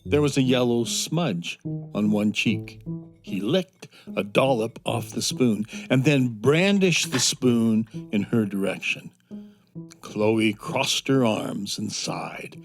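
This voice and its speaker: A male storyteller narrating a children's adventure at a slow, deliberate pace. His delivery is measured and expressive, with soft music underneath. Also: medium pitch.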